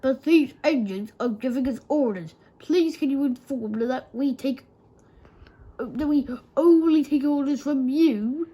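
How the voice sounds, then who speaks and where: A woman reads as a plucky storybook tank engine. It speaks in a high, earnest voice, slowly and politely, with some pride. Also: mellow, rough recording.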